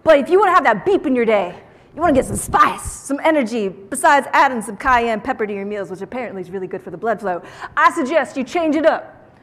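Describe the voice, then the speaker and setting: A woman giving a motivational keynote talk to an audience on stage, with an animated, theatrical delivery and playful emphasis. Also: a little high, quick pace. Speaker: keynote speaker. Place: large indoor conference room, on stage. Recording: echoey conference hall sound.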